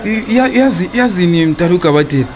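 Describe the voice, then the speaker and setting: Slick zimbabwean cheating husband in a radio drama, highish voice, chatter behind him. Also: male voice, animated, quick pace, a little mellow. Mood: flustered, pleading. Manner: sweet-talking, stammering when cornered. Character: smooth-talking, cheating husband wooing his young lover, evasive debtor.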